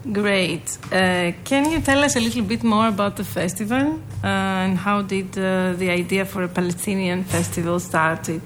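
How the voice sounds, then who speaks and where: A woman interviewer with a mid-range voice asks polite, encouraging questions and pauses on a few fillers along the way. A faint background hum runs under her voice. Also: mid-range voice. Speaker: interviewer, host.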